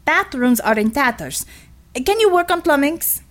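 Woman putting on a brusque, high-pitched Russian heroine. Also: expressive, measured pace. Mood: brisk, commanding. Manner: clipped, imperious orders.

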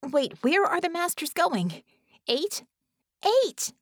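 A woman's voice: extremely high pitch, sing-song, slow pace, dry recording, studio quality, voice pushed up, heavily acted. A woman voicing a fierce, foul-tempered female team leader, snapping and demanding, in an audiobook. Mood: confused, urgent.

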